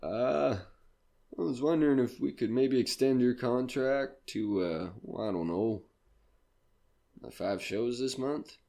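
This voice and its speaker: A man playing a flattering, small-time comedy club manager in an audio drama, halting and ingratiating. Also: medium pitch, creaky. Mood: ingratiating, nervous.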